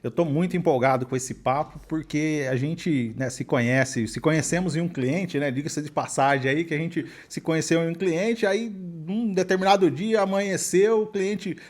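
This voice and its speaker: A Brazilian man with a high-pitched voice hosts an interview podcast. He speaks casually, in the manner of someone chatting with guests he works with. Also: moderate pace, few pauses. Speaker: podcast host, accountant.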